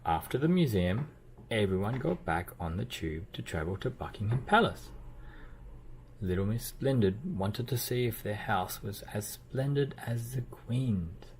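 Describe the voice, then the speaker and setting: Low-voiced man reading a children's storybook. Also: a little slow, a little hiss or hum. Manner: expressive storytelling with playful emphasis and sound effects. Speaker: children's book reader. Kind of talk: read-aloud storybook narration.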